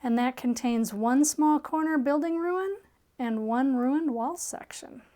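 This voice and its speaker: A woman's voice: sits high, a little slow, warm tone. A woman co-presenting in a casual, conversational style with short comments. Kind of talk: casual chat.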